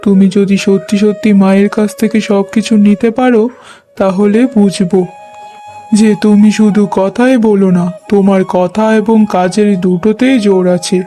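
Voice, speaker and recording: Mild-tempered married man for a moral cartoon, played by a man; soft-edged, earnest, easily yielding. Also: high voice, fairly even intonation, normal speed, faint music behind, natural voice. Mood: giving in. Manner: earnest, placating. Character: mild, yielding adult husband and dutiful elder son. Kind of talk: cartoon voice acting.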